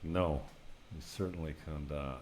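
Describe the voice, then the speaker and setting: A man's voice: rumbling low, fairly even intonation, unhurried, darkish tone. A man hosting a podcast in casual chat, laid-back, informal and teasing. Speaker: podcast host.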